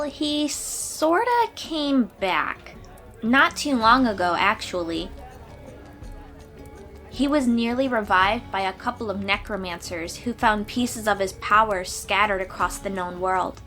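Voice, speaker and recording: Woman acting a bright, high-pitched young sea-dweller girl warrior. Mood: serious, explaining. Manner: perky, informal, expressive. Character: confident thirteen-year-old sea-dweller girl warrior, cheery and chatty. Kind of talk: fantasy audio roleplay addressed to the listener.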